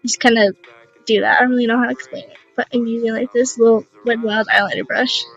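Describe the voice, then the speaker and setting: A young woman with a raspy voice, casually narrating a makeup tutorial in a rambling, unsure, self-deprecating way. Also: fairly high pitch, fairly even intonation, fast, faint music behind, poor audio.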